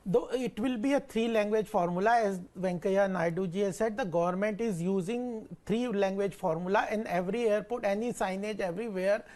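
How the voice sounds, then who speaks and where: A man arguing in a TV debate, insistent and halting with many fillers. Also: high voice, a little mellow. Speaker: ruling party spokesperson. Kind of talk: TV debate argument.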